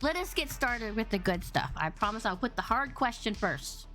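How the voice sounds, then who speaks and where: A bubbly woman hosts a casual streamed podcast. Her voice is big and boomy, and her pitch swings all over the place. Faint music plays underneath. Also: big pitch swings, moderate pace, pushed and bright. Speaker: podcast host. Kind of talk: casual live-stream chat. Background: faint background music.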